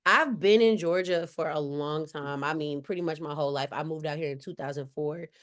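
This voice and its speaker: A woman's voice: deep voice, fairly quick, fairly bright tone. A woman raised in Cleveland with a light Southern accent, chatting casually on a podcast and leaning on "like" a lot. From Cleveland, Ohio. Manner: chatty, many "like" fillers and restarts. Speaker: podcast guest. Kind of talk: casual conversation.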